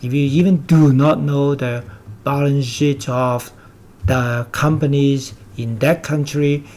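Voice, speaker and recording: Older man, Hong Kong accent, native Cantonese speaker, mid-pitched voice, emphatic, repetitive, blunt investment lecture. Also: steady tone, slow, darkish tone. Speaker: investment commentator. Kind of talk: lecturing market commentary.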